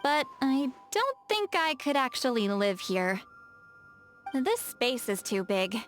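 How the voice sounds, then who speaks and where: A woman voice acting a touchy, lazy, bookish young-seeming shaman in a video game, expressive and defensive, with hesitant asides and self-corrections. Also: high-pitched, slow pace, soft background music. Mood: laid-back, explanatory. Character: touchy, lazy, bookish female shaman, youthful but secretly very old.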